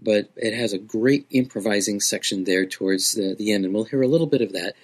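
Male podcast presenter, lower register, informal and explanatory music commentary. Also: brisk. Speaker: host of a music segment.

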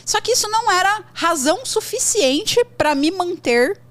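A Brazilian woman in her thirties hosting a podcast, talking in an animated, chatty and slangy way. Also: very high-pitched, normal speed. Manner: animated, chatty, slangy, jumps between thoughts. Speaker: podcast host, English teacher. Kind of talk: casual podcast chat.

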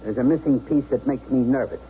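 An actor playing a suspicious, threatening bank robber in a radio drama, talking in a tough, slangy, sneering way. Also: male voice, medium pitch, brisk, natural voice. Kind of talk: 1970s radio drama acting. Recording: dull, noisy 1970s radio broadcast.

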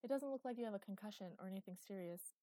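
A woman voicing a serious, motherly little girl in an audiobook, earnest, polite and gently bossy. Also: medium pitch, fast, no echo, lightly acted. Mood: serious, authoritative. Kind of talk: audiobook character dialogue.

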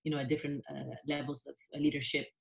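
A woman's voice: deep voice, monotone, very fast, gravelly. A middle-aged woman from the Philippines, a podcast guest who talks in an earnest, enthusiastic conversational way with many fillers. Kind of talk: conversational interview.

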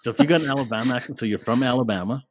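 A man's voice: mid-range voice, rapid-fire, no echo. A man hosting a podcast in casual, jokey chat, laughing often and tripping over his words. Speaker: podcast host.

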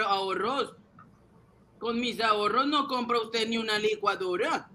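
A man acting as an earnest, naive ordinary man with a high, bright voice. He speaks slowly and a little hesitantly, in a homemade radio drama. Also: slight background noise, heavily acted, rough recording. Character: naive, hopeful ordinary man, a small bank saver. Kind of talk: amateur radio drama reading.

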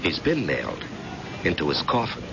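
Hard-boiled worried detective, lowish pitch, wide-ranging delivery, earnest, vintage radio drama, noisy old recording. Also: male voice, huge pitch swings, normal speed, gravelly, highs cut off, over music, full character voice. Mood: grave. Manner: earnest, urgent, hard-boiled colloquial talk. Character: grim, loyal private eye, worried and plain-spoken.

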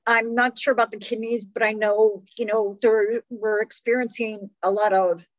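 A woman's voice: a little high, level tone, moderate pace, dry recording, dull audio. A woman in her late fifties hosting a casual health interview in a chatty, conversational way, often saying "you know". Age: late 50s. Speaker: host.

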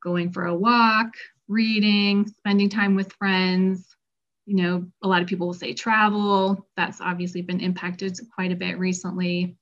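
A woman's voice: fairly low pitch, level tone, a little slow, a bit bright. A middle-aged woman who works as a health practitioner, giving a conversational talk to patients about stress while losing her voice. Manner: conversational, acts out patient quotes. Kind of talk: informal health presentation. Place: Colorado. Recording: dull lo-fi recording.

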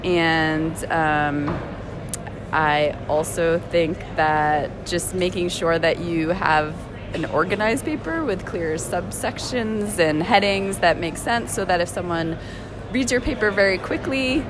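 A woman, a computer science professor, giving clear and measured advice in an interview. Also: on the low side, noisy background. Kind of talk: interview answers.

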